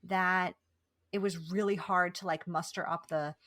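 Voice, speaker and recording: A woman's voice: fairly low pitch, fairly even intonation, unhurried, a bit bright, no echo. A woman hosting an interview podcast, encouraging and affirming toward her guest and her listeners. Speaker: podcast host.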